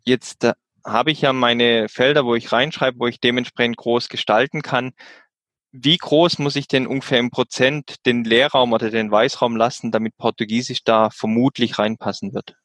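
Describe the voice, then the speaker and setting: A man's voice: medium pitch, fairly even intonation, normal speed, fairly bright tone, no echo. A man interviewing a translator, asking practical questions with frequent hesitant fillers.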